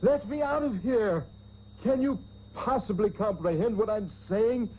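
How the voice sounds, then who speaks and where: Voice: medium pitch, a little slow, lightly acted. An actor playing a haughty, indignant captive in a comic radio serial, with pompous, theatrical exclamations. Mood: indignant, demanding. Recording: muffled, noisy taped radio broadcast.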